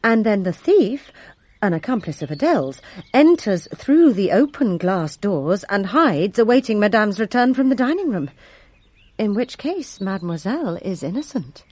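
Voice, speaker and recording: A woman's voice: mid-range voice, big pitch swings, moderate pace, darkish tone, no echo. A woman playing a servant giving formal, deferential testimony in a radio drama, composed yet resentful of a rival. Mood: careful testimony. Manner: formal, deferential, precise storytelling.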